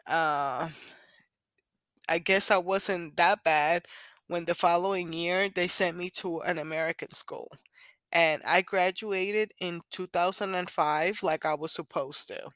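A woman's voice: fairly low pitch, fairly bright tone, no echo. A woman in her thirties speaks with a Puerto Rican accent, as a native Spanish speaker. She takes her time, talking candidly and conversationally like a solo podcaster. The sound is dry, with very little room in it. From Puerto Rico. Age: 30s. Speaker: podcast host. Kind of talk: personal storytelling, casual solo podcast.

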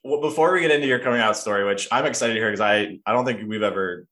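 A man's voice: lowish voice, fast talker, a bit bright. A man hosting a podcast in a casual, personal chat, rambling and often interrupting himself as he goes. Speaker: podcast host.

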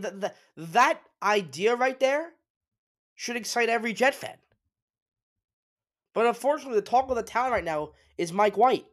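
A man hosting a solo sports talk show, congested and sniffly, giving emphatic opinions with frequent restarts. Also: high-pitched. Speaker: sports podcast host.

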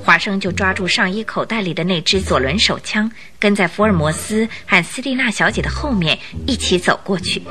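A woman giving expressive, suspenseful scripted narration for a detective radio drama. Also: mid-range voice, fairly quick, few pauses, faint music behind.

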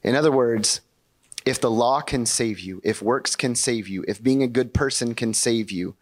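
A young man preaching in a church sanctuary, his voice pitched in an ordinary middle register. He talks loosely and conversationally and keeps drawing the congregation in with questions. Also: moderate pace. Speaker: pastor. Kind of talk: sermon.

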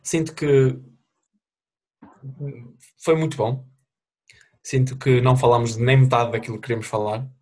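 A young man with a European Portuguese accent, co-hosting a podcast in a relaxed way and steering the chat. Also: mid-range voice, normal speed, pauses often. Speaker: podcast co-host. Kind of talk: casual chat among friends.

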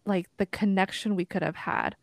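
A young woman born in Korea, co-hosting a casual podcast. She thinks out loud in a reflective, searching way, full of "like" and "you know." Her voice is mid-pitched and recorded dry and close. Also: normal speed, vocal fry, no room sound. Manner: reflective, thinks aloud with many likes and you-knows. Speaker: podcast co-host. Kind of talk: casual chat.

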